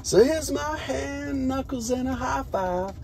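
An American man performing rhyming spoken word poetry in a drawn-out, rhythmic, emphatic delivery. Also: very high-pitched, lively intonation, vocal fry, a little mellow.

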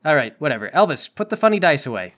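A man's voice: on the high side, sing-song, quick pace, a bit bright. A man running a tabletop roleplaying game, narrating and bantering in an exasperated, sarcastic way. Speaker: game master.

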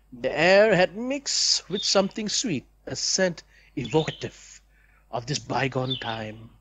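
A young man reads visual novel narration aloud as a let's player, deliberate and storybook-like, with casual asides. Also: fairly high pitch, a bit bright, low-quality recording.

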